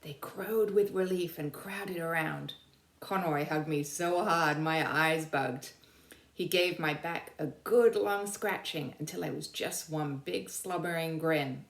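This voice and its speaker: A woman narrating a children's storybook aloud with dramatic, deliberate emphasis. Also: sits low, reverberant. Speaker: teacher reading aloud.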